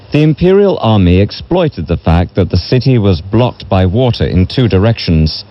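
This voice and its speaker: A man reading a history book as a narrator in a measured, solemn way, heard over a shortwave radio broadcast. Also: on the low side, dull audio. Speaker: audiobook narrator. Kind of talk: scripted literary history narration.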